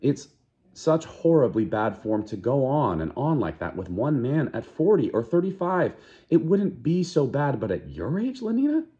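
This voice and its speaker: Guy reading aloud, doing a nagging gossipy young woman, voice pushed way up high, muffled lo-fi sound. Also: sing-song, moderate pace, warm tone, close to an everyday voice. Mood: scolding.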